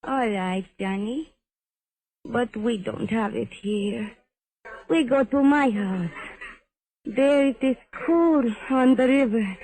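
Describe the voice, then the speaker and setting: Sultry nightclub femme fatale, high pitched, careful stilted english like a foreigner, 50s radio drama. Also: female voice, a little mellow, poor audio. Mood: seductive. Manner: measured, stilted foreign-sounding English without contractions. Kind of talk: 1950s radio drama acting.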